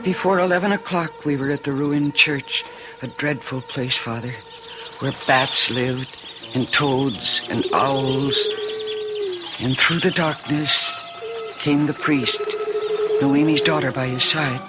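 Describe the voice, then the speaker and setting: An actress playing a troubled young woman confessing a dark deed in an old radio drama, dramatic, anguished and halting. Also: extremely low pitch, steady tone, very noisy. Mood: haunted.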